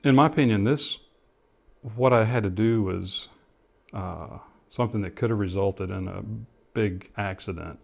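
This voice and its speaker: Man, middle-aged, lower voice, reflective, stop-and-start explaining, interview recollection. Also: moderate pace, vocal fry, a little mellow. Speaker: interviewee, former air traffic controller and pilot.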